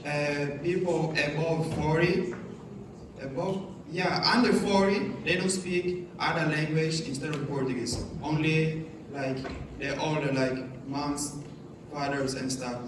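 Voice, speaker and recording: A student from Angola whose first language is Kimbundu, speaking haltingly. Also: male voice, a little high. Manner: halting, restarts words. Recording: distant, echoey, noisy room.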